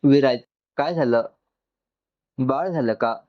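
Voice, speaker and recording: A man's voice: medium pitch, takes it slowly, muffled recording, natural voice. A man voicing a practical woman who talks to her friend in a matter-of-fact way in a dramatic story. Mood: calm reassurance. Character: composed, practical woman reassuring an anxious friend.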